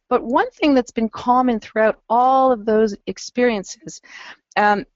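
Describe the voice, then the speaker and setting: A hoarse, croaky woman presenting as a family advisor, earnest and measured with some fillers. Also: mid-range voice, no echo. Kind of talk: webinar presentation. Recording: dull lo-fi webinar audio.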